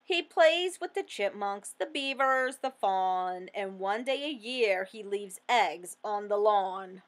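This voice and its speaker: A woman's voice: mid-range voice, lively intonation, slow. A woman voicing a jealous, boastful little hen in a storybook, with indignant, emphatic delivery. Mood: boastful, scornful.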